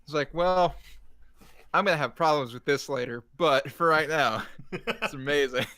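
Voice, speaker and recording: Man, fairly high voice, jokey, rambling, informal group conversation. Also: normal speed, pushed and bright, dry recording. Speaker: podcast regular. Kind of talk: casual chat.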